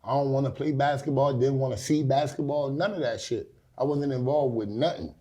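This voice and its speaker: A man in his forties sits at a talk show desk and tells his story without softening it. His voice sits in the middle range, and he talks in a casual, direct way. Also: mid-range voice, brisk. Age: 40s. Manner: blunt, candid storytelling. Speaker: guest. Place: studio set.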